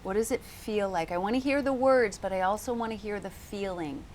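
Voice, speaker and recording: A woman hosting and coaching in a conversational interview, speaking in a deliberate, encouraging, guiding way. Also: mid-range voice, darkish tone. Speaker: podcast host and coach. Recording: clear background noise, ambience.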